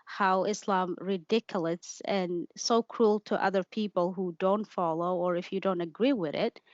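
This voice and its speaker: A woman from Somalia, a native Somali speaker, recounting her own story in an interview. She talks in halting bursts, restarting her sentences and filling pauses. The sound is dry and a little muffled. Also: fairly low pitch, steady tone, dry recording. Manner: hesitant, many fillers and restarts, laughs easily. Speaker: interview guest. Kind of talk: candid personal interview.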